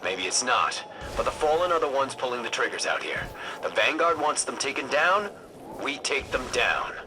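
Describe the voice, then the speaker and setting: Brisk military scout played by a man, higher-pitched. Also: expressive, background noise, close to an everyday voice. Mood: concerned, resolute. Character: brisk male military scout directing a field operation. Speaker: scout commander. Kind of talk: video game dialogue. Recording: music behind the voice. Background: game soundtrack music.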